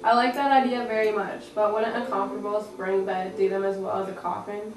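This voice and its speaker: A woman's voice: medium pitch, flat delivery, fairly quick. A young woman reading lines plainly in an amateur school drama. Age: young adult. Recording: echoey room from far off, noisy.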